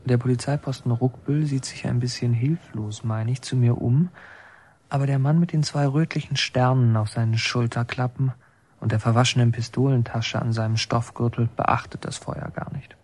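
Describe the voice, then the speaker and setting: A man's voice: medium pitch, fairly even intonation, normal speed, a little mellow, breathy edge, close to an everyday voice. A man acting as a watchful son who narrates his childhood in a literary radio drama. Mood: observant, restrained. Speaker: first-person narrator.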